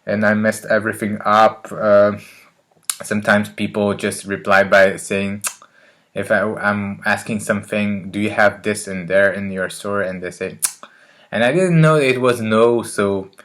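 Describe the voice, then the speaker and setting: Guy vlogging about language learning, lots of ums and restarts, lowish monotone voice. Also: measured pace. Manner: hesitant, many fillers and restarts. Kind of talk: casual talk to camera.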